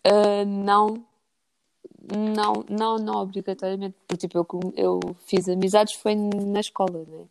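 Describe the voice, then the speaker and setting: A woman's voice: medium pitch, level tone, normal speed, gravelly, a little hiss or hum, rough recording. A woman with a European Portuguese accent giving casual, conversational answers as a podcast guest.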